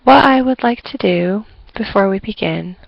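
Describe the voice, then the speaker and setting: A woman's voice: medium pitch, creaky, dry recording, dull audio. A woman teaching an online English class, politely calling on each student to speak. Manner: polite, measured, repeats prompts.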